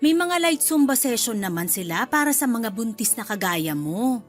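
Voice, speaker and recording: A woman's voice: a little high, very animated, normal speed, faint music behind. A woman playing a chatty, bluntly nosy middle-aged mother-in-law in a Filipino radio drama, with a Filipino accent. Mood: cheerfully pushy. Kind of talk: Tagalog radio drama acting.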